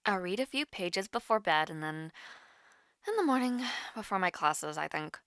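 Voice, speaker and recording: A woman's voice: on the low side, expressive, normal speed, fairly bright tone, no echo, studio quality. A young woman playing a nervous, rambling college student chatting casually with her online crush in an audio roleplay. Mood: shy, casual. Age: young adult. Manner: awkward, rambling, hesitant with many fillers. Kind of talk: naturalistic romantic audio roleplay, casual one-sided call.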